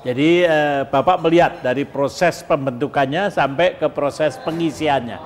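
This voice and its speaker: A man's voice: on the high side, moderate pace. A man hosting a conversational broadcast interview, prompting his guests with questions and short acknowledgements. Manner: prompting, frequent backchannels. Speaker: talk show host. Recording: reverberant hall, noticeable background noise.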